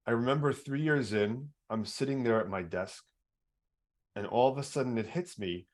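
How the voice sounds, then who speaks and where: A man with a baritone voice, hosting a podcast and telling his own story earnestly, stumbling over repeated words. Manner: earnest, repetitive restarts. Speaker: podcast host. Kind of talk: personal storytelling in interview.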